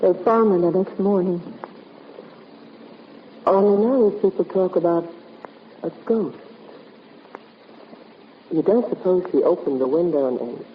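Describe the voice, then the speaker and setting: A woman's voice: fairly low pitch, a little slow, long pauses, natural voice. Actress as a level-headed woman, muffled old radio. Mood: concerned, questioning. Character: concerned, level-headed female detective's assistant. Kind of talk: 1950s radio drama acting. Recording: muffled, noisy 1950s radio recording.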